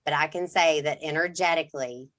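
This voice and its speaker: A woman's voice: sits low, fairly quick, fairly bright tone. A middle-aged woman co-hosting a podcast, telling a personal story in casual chat and acting out what people said. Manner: storytelling, acts out quotes, restarts sentences. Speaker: podcast co-host.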